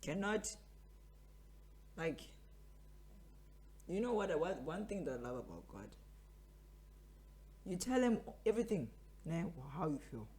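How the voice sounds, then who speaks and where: A man's voice: high-pitched, sing-song, a little slow, long pauses, darkish tone, slightly rough. A man of twenty-three, hosting a podcast as a casual motivational monologue and repeating phrases for emphasis. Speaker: podcast host.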